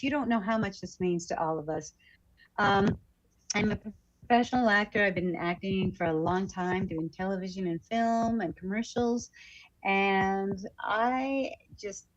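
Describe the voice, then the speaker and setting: A woman's voice: mid-range voice, unhurried. A woman guest on a livestream, telling personal stories in an effusive, conversational way. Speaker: professional actor, guest. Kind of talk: casual livestream chat, personal anecdotes. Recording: dull lo-fi online call audio.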